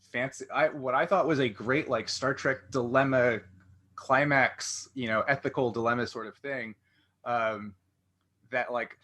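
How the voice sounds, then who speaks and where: A man's voice: mid-range voice, fairly even intonation, a little slow, bright, forceful tone. A man hosting a casual interview, thinking aloud through long, rambling questions. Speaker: podcast interviewer, game master.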